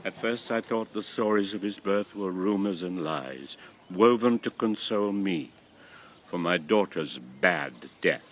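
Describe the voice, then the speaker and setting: A man's voice: low-pitched, expressive, measured pace, slight background noise. An actor playing an old former king and grandfather, a solemn, impassioned convert to a god, in a classical radio drama. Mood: reverent. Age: old, past retirement. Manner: solemn, impassioned, theatrical delivery.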